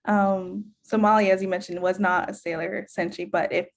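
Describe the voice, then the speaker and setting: A woman's voice: medium pitch, flat delivery, moderate pace, gravelly. A woman hosting a fan podcast, asking questions in an enthusiastic, affirming way. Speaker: podcast host. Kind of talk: casual interview.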